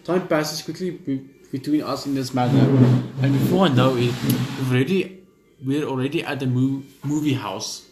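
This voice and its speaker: A man's voice: mid-range voice, moderate pace, echoey room, heavy background noise. A man who hosts a gaming channel, reading a visual novel's text aloud and chatting between passages, casual and stumbling, with the odd offhand swear.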